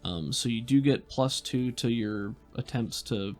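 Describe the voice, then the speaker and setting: A man's voice: mid-range voice, a little slow, darkish tone, faint music behind. A man narrating an improvised tabletop roleplaying game as game master, describing scenes and giving rulings.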